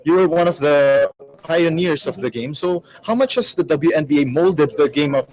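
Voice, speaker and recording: A man hosting a radio sports segment, his voice sitting a bit high. He asks his guest clear, polite questions at an even pace, dropping soft murmurs of agreement in between. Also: normal speed, rough recording. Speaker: radio sports show interviewer. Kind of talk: interview questions.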